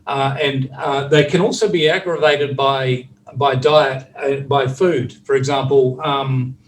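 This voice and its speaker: A man's voice: mid-range voice, steady tone, a little slow, recorded from a distance, a little hiss or hum, low-quality recording. A man with a New Zealand accent, chatting casually on a video call as a recovery specialist, with lots of fillers and restarts.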